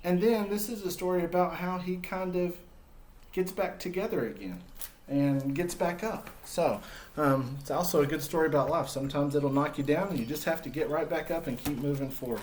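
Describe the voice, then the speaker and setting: A man's voice: a little high, moderate pace, darkish tone, echoey room, a little hiss or hum. A man hosting a children's story read-aloud, speaking expressively and encouragingly to young listeners.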